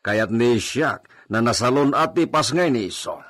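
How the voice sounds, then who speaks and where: Brooding master for Ilocano radio drama, man acting: husky, unhurried, suspicious, big melodramatic swings. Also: mid-range voice, lively intonation, a bit bright. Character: cold, suspicious master of the house.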